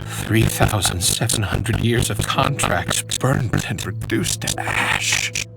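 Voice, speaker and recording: A man's voice: fairly low pitch, takes it slowly, creaky, exaggerated voice, poor audio. An actor playing a brooding townsman in a fantasy video game, musing aloud to himself. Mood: wistful. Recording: loud game music behind the voice.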